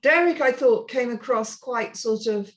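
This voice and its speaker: A woman's voice: fairly high pitch, measured pace. Woman chairing an informal group discussion. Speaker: moderator of a script feedback discussion.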